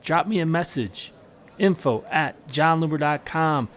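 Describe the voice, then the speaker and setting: A man's voice: a little high, measured pace. A man hosting a podcast, explaining tips in a scripted monologue with emphatic, short punchy phrases. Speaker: podcast host.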